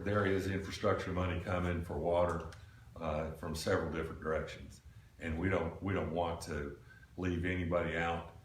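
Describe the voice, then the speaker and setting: Very deep-voiced older man, distant mic. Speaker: committee member presenting a proposal. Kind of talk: informal explanation at a public meeting. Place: small indoor meeting room. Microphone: camera microphone across the room. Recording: roomy, distant mic with background noise.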